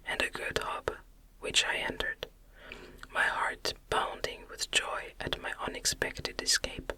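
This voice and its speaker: A narrator reading a classic novel in a soft, unvoiced ASMR whisper with a soothing cadence.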